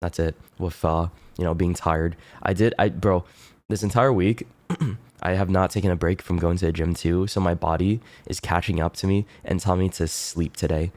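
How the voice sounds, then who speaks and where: A man with a deep, low voice talks casually to his stream audience, his nose plainly blocked so everything comes out stuffy. He rambles in a relaxed way, swears freely and keeps clearing his throat. The sound is close and dry, with no room around it. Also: moderate pace, no room sound. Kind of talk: casual chat with viewers.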